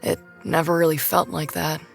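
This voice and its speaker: A self-conscious, hot-tempered nerdy woman in an audio drama. Her voice is low with heavy fry. She talks haltingly and bluntly, with casual swearing, over faint music. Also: flat delivery. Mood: tender, awkward. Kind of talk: naturalistic audio drama acting.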